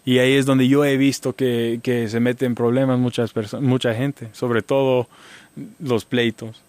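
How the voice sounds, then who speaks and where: A man giving legal advice as a guest on a radio talk show, speaking haltingly with many restarts and fillers. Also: medium pitch, little pitch movement, a little mellow, no room sound. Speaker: immigration lawyer guest. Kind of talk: radio interview answers.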